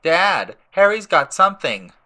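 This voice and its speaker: A man voicing a loud, demanding, spoiled young boy who whines, in an audiobook drama. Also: high-pitched, big pitch swings, a little slow, voice pushed up, heavily acted. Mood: tattling. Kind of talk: audiobook drama character acting.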